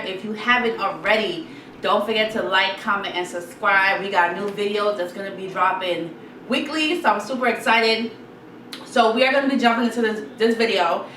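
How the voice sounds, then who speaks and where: A young woman with a Guyanese accent, chatting casually to camera in a sit-down advice vlog, reading quotes and repeating points for emphasis. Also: mid-range voice. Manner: animated, chatty, repeats herself, reads quotes aloud. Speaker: YouTube vlogger. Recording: roomy echo, slightly distant mic, faint noise.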